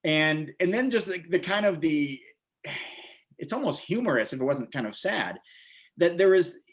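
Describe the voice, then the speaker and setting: A man's voice: fairly high pitch, animated, normal speed. Rambling American man in his forties. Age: 40s. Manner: rambling, repeats words and phrases. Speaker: interview guest, vegan bodybuilder and author. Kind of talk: casual interview storytelling.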